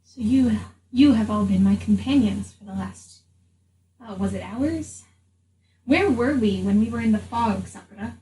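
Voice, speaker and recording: A woman's voice: mid-range voice, measured pace, warm tone, natural voice. Woman as serene adventurer, echoey distant voice. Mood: curious, calm. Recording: echoey room, distant mic, faint noise.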